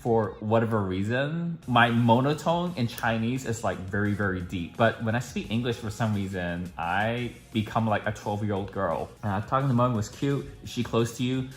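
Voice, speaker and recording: A man's voice: fairly low pitch, moderate pace, hardly any pauses, soft background music. A young man chatting casually to camera at home in a daily vlog, full of "like" fillers. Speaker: vlogger.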